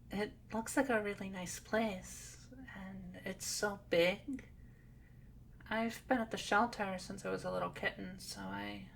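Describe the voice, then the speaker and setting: A woman voicing a sweet, timid young catgirl in an ASMR roleplay, speaking in a hesitant, halting, nervous way. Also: creaky, low-quality recording. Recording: audible background noise with low rumble.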